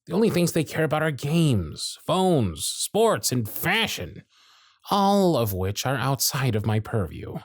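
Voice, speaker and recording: A man voicing a jaded, century-old male workshop supervisor who is gruff, weary, sardonic and blunt, in an ASMR roleplay with close miking. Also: medium pitch, very animated, unhurried, no room sound. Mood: bitter, exasperated. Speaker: voice actor in audio roleplay.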